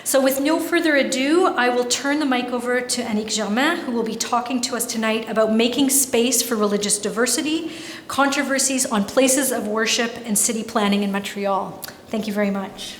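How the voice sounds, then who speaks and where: A woman host opens the evening with a quick, formal introduction. Her voice sits slightly high. It carries a roomy hall echo with faint background noise. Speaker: event host. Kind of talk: introducing a speaker. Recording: echoey hall from off-mic.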